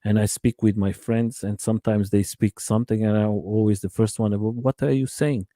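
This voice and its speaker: A man's voice: fairly low pitch, fairly even intonation, warm tone, no echo, clean studio sound. Man hosting a live chat. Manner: halting non-native English, many uh fillers. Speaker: channel host interviewer. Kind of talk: live-stream interview chat.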